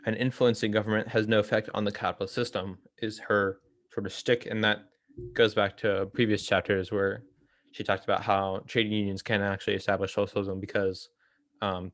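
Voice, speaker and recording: Low-voiced man, hesitant informal explainer. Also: little pitch movement, fairly quick, no echo. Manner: hesitant, self-correcting, explanatory. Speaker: host of a political theory read-along. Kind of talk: informal book discussion.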